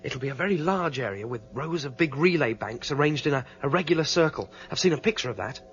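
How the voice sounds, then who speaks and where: Radio drama: guarded fugitive planner, fast-spoken male, reasoning plainly, muffled sound with some noise. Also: a little high, expressive, fairly bright tone. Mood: determined. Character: calm, determined fugitive leader explaining the plan. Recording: dull radio drama recording, faint hiss.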